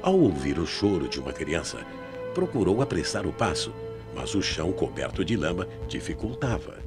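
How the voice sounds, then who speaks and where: A Brazilian man with an extremely deep voice narrates a radio mystery. His delivery is suspenseful and highly expressive, with music behind him. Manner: suspenseful, deliberate phrasing. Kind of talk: scripted dramatic mystery narration. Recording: 1990s AM radio drama with music bed.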